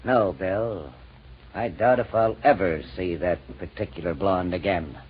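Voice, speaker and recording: A man's voice: deep voice, measured pace, music in the background. An actor playing a smug, cagey crooked racketeer and womanizer in a 1940s radio crime drama. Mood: guarded. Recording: muffled vintage radio recording.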